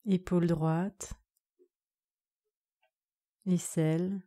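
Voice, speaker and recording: Slow, soft-toned woman guiding relaxation. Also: lowish voice. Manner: calm, soothing, measured instructions with short phrases. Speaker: yoga nidra instructor. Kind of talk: guided relaxation meditation.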